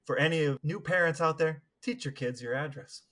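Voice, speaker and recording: A man's voice: on the high side, normal speed, a bit bright. A man co-hosting a podcast with casual banter and dry, playful humor. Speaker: podcast co-host.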